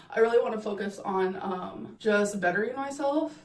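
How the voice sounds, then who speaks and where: A woman chats to her camera in a loose, gushing vlog manner, leaning on fillers like "like" and "um." Her pitch sits in an ordinary middle range. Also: normal speed. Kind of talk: casual vlog talk to camera.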